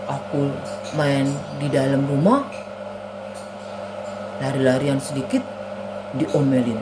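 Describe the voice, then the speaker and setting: A woman's voice: rumbling low, flat delivery, takes it slowly, voice pushed down. A woman voicing a bored, grumbling schoolboy character in a children's Sunday school skit, with a sullen manner. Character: Anto, grumbling schoolboy puppet, bored and scolded. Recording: clear background noise.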